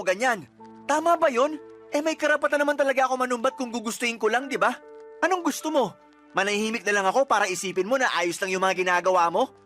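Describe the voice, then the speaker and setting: A man's voice: extremely high pitch, a bit bright. A young man acting a resentful boyfriend in a radio drama, complaining and accusing.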